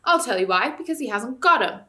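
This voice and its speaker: A woman voicing a scoffing male chief dwarf who heckles loudly. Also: on the high side, lively intonation, a little fast, pitched above the performer's own voice. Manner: loud, scoffing.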